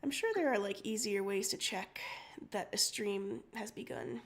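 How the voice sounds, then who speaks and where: A woman's voice: mid-range voice, expressive, normal speed. A woman co-hosting a casual story-reading livestream, prone to giggling, with the start of a throat cold. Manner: giggly, breaks into laughter often. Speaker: co-host of a story-reading stream.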